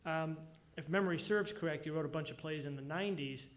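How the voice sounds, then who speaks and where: Male audience questioner, fairly high voice, wry, articulate delivery. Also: fast talker. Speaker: audience member asking a question. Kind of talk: informal two-part question. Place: live event with studio audience.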